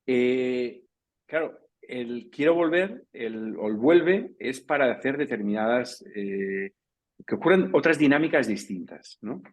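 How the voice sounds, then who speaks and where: A man's voice: medium pitch, expressive, measured pace. A man speaking as a panelist in a professional round-table discussion, talking in a loose, discursive way and often checking in with the listener. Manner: discursive, tags points with "¿no?" and "¿vale?".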